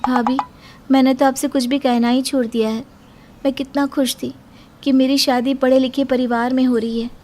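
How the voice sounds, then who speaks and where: A woman acts out a young working daughter-in-law in an Indian cartoon moral story. Her voice is clearly high. She comes across as earnest, plaintive and overworked. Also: flat delivery, moderate pace, background noise, natural voice. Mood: hurt, reproachful.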